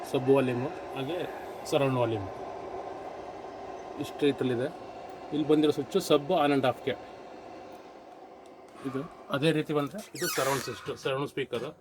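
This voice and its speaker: A man's voice: mid-range voice, fairly even intonation, normal speed, pauses often, background noise. A man presenting a casual vlog as host and audio installer.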